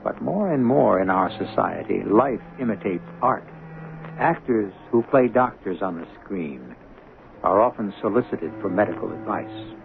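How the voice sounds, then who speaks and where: A low-voiced man narrates a vintage radio mystery as its host. He is measured, dramatic and a little wry, with a gravelly edge. The broadcast sound is narrow, with faint music. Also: animated.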